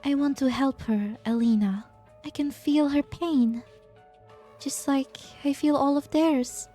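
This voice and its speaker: A high-pitched, softly spoken woman acting as a lady scientist. She is elegant, formal and earnestly self-righteous, in a game fan dub with faint music behind her. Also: slow.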